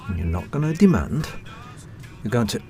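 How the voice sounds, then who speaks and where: A man's voice: mid-range voice, creaky, a little mellow, exaggerated voice. A man playing a joking, loving middle-aged family dad in an audio drama.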